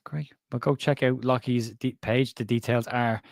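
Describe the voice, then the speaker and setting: A man's voice: medium pitch, fairly even intonation, normal speed, vocal fry, a little mellow, dry recording, studio quality. An Irish man from Ireland hosting a casual football chat over a live stream, rambling and chatty, often interrupting himself. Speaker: podcast host. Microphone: remote stream call.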